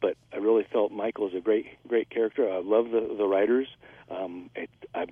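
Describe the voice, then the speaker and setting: A man calling in to a radio talk show, a voice actor chatting with many hesitant pauses. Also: fairly low pitch, fairly even intonation, husky, no echo. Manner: halting, many filler pauses. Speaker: caller, voice actor. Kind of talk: call-in chat. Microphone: phone. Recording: tinny phone line.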